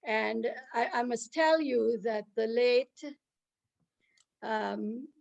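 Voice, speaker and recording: Woman from guyana introducing someone, kinda high voice, earnest, lots of restarts. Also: very slow, vocal fry, highs cut off. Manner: earnest, searching for words, many restarts. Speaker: host introducing a speaker. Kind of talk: live online conversation.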